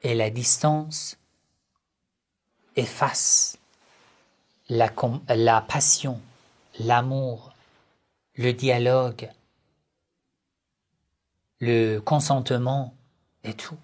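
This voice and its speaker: A man giving a religious lesson on family life, speaking in short, measured phrases and asking rhetorical questions that he then answers himself. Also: mid-range voice, steady tone. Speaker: religious teacher.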